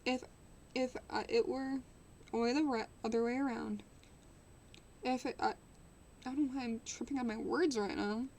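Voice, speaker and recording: A woman's voice: a little high, fairly even intonation, normal speed, long pauses, darkish tone, slight background noise, lightly acted. Dorian Gray, a woman reading a vain, brooding young man. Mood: wistful. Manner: reads with small stumbles and restarts. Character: young man, vain, anxious about aging.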